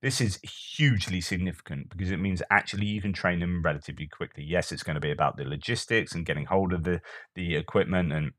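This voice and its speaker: A man's voice: low voice, fast, a bit bright, no echo, clean studio sound. A man commenting on war news in a casual, thinking-aloud way, with frequent fillers and restarts.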